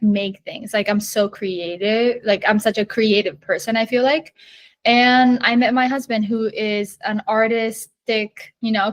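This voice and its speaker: A woman in her late twenties, born and raised in Japan, talks about her small business in a loose, chatty way. She leans on "like" and "you know" and laughs easily. Her voice sits at a middle pitch, recorded close and dry. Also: no echo. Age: 20s. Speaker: small business owner, podcast guest. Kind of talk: casual interview conversation.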